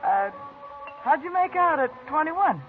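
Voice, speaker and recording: A woman's voice: high voice, sing-song, unhurried, over music. A coy, teasing saloon girl. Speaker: saloon worker. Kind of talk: 1950s radio drama acting. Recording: scratchy, tinny old radio broadcast.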